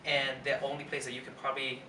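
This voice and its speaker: A man's voice: medium pitch, flat delivery, quick pace. A man in his twenties, from Las Vegas and born in Thailand, answering casually as an interview guest. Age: 20s. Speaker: interview guest, pole performer. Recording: roomy, distant mic, faint background noise.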